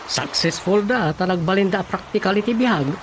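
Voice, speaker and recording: An actor playing a practical, agreeable nephew in an Ilocano radio drama comedy, conversational and persuasive. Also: male voice, sits high, lively intonation, moderate pace, slight background noise, rough recording.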